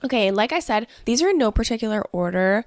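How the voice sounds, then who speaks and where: A woman's voice: a little high, expressive, fast, no echo, studio quality. Bubbly young woman with a stuffy nose. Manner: bubbly, chatty, fillers like "like" and "honestly". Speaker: podcast host. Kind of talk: casual solo podcast chat.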